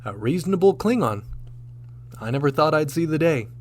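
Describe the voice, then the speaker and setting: An actor playing a firm, wryly sardonic starship captain in a sci-fi audio drama, speaking crisply. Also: male voice, fairly high pitch, animated, moderate pace, close to an everyday voice. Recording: clear background noise with hum.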